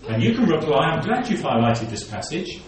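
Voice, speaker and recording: Man preaching, reads the bible out then explains it, highish voice, sounds far off in a big echoey hall. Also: sing-song, brisk. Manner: expository Bible teaching, reading scripture aloud then explaining. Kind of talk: sermon. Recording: very echoey room, voice from a distance.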